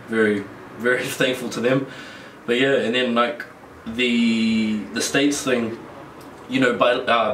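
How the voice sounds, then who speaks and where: A young man with a New Zealand accent chats as a guest, laughing easily and circling back through restarts. His voice is pitched middling for a man. He sounds distant from the mic in an echoey room with faint noise behind. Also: a bit bright. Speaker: guest wrestler. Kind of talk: casual interview chat.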